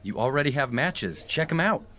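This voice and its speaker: A man playing a smooth-talking, flippant young office colleague in a radio play. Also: a little high, sing-song, moderate pace, fairly bright tone. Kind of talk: contemporary radio play acting.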